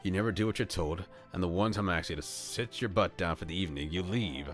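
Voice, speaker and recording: Man voicing a gruff, deep, grumpy werewolf. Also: fast, fairly bright tone. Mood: exasperated scolding. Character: gruff, grumpy cursed werewolf housemate, male. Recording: music behind the voice.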